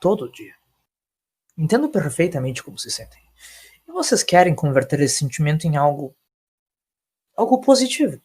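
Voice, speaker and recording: A man voicing a pompous, dry gentleman doctor in an audio story, courteous with a sombre edge. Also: a little high, big pitch swings, normal speed, long pauses, husky. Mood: polite, sombre. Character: pompous, dry British gentleman doctor, sympathetic yet sober.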